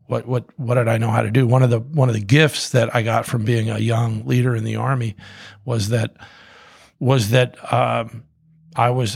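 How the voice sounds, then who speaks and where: A man's voice: medium pitch, vocal fry, husky, dry recording, studio quality. A middle-aged man telling his story in a casual, rambling way, full of restarts and fillers. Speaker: guest, coffee company co-founder.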